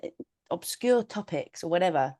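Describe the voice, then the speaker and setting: A woman with a British accent chats casually as a podcast co-host. Her voice sits on the low side, and the recording is dry and close with very little room sound. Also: animated, moderate pace, mellow, dry recording. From United Kingdom. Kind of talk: casual chat.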